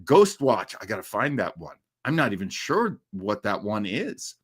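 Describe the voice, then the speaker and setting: A man hosting a livestream on classic radio horror, chatting casually and reflectively with listeners, with frequent pauses as he thinks. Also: on the low side, very animated, husky. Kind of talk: casual live commentary and chat with listeners.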